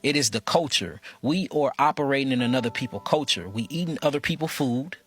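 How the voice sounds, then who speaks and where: A man's voice: medium pitch, lively intonation, brisk, fairly bright tone. A man giving an impassioned, preachy monologue, repeating his phrases insistently. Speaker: speaker.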